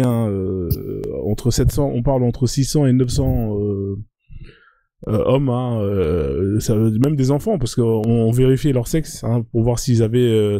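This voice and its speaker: A man's voice: medium pitch, dark tone, no echo. A man hosting a live stream, chatting casually and reading a document aloud, filling his speech with hesitations and tag words. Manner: peppers speech with "hein" and "euh".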